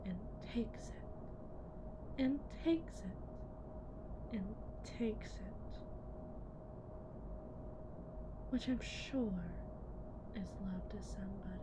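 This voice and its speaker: A woman playing a cold, condescending lead technician in an ASMR audio roleplay, speaking deliberately and often dropping toward a breathy whisper. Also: sits high, noisy background. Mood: hushed, taunting. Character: cold, condescending female lead technician at shady tech company.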